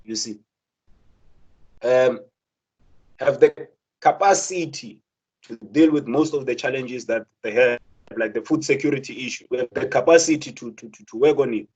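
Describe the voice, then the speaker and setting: Earnest South African man, husky voice. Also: mid-range voice, moderate pace, long pauses, a little mellow, muffled recording. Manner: earnest, many repeated words and restarts. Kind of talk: impassioned spoken talk.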